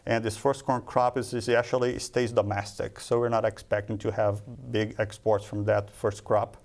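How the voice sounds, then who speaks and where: A man from Brazil, a native Portuguese speaker, answering questions as a market analyst in a studio interview, with halting restarts. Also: lowish voice, flat delivery, vocal fry, darkish tone. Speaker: grain market analyst guest.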